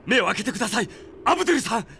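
An actor playing an earnest, polite young man grieving for a comrade in an anime audio drama, soft and pleading, dropping toward whispers. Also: high voice, sing-song, rapid-fire, gravelly, slight background noise, heavily acted. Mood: pleading, distraught.

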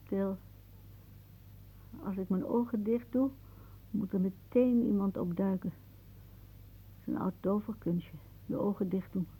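An actress playing a whimsical, dreamy elderly aunt in a radio play, musing theatrically and drifting into hushed asides. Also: female voice, mid-range voice, fairly even intonation, slow, long pauses, breathy edge, full character voice, poor audio. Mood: hushed, mysterious.

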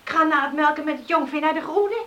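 A young farm daughter in a radio play. Her voice is very high, with a cheerful, keen and busy temper. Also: quick pace, bright, forceful tone. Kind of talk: radio drama acting.

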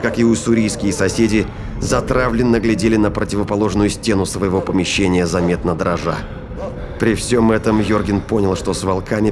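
Low-voiced male audio drama narrator. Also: noisy background. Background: prominent music and sound effects.

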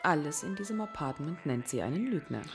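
An actress in a German radio crime drama playing a guarded, worldly call girl with a cool, sharp tongue. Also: low-pitched, lively intonation, fairly quick, soft background music. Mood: wary, defiant.

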